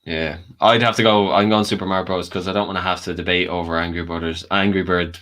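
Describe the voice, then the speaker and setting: A man with a low-pitched voice talks casually as a guest panelist. His delivery is informal, conversational and suited to a friendly debate. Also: fairly even intonation, quick pace, a bit bright. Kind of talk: casual film debate chat.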